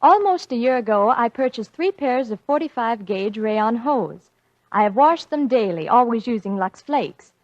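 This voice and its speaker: A woman's voice: on the high side, expressive, normal speed, a little mellow, no echo, close to an everyday voice. A woman voicing an earnest hospital technician's testimonial letter in a 1940s radio advertisement, precisely worded. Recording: dull vintage radio recording.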